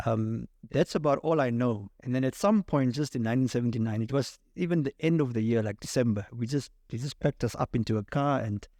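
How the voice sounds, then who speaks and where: A man's voice: mid-range voice, warm tone, no echo, studio quality. A middle-aged man with a Southern African accent, chatting casually as a podcast guest and telling his own story. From Cape Town and Namibia. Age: 40s or 50s. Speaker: podcast guest, sound engineer.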